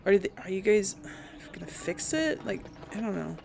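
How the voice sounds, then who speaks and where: Woman musing casually with a creaky voice. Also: medium pitch, lively intonation, normal speed, background noise. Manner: musing, talks to herself and the audience. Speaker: let's play host. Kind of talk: casual game commentary with some role play.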